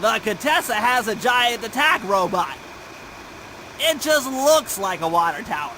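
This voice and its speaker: An actor playing a nerdy, careful young turtle gadget genius in a video game, nasal and precise. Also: male voice, high voice, sing-song, a little slow, slight background noise, exaggerated voice, low-quality recording. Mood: earnest briefing. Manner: precise, earnest, bookish briefing. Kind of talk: animated video game cutscene acting. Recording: loud music under the voice. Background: game music.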